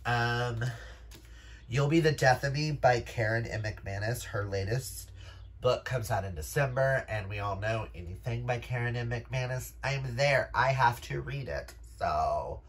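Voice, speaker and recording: A man's voice: mid-range voice, expressive, unhurried, husky. A man chatting casually to viewers as a book vlogger, gushing and emphatic, with casual swearing. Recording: noisy room background.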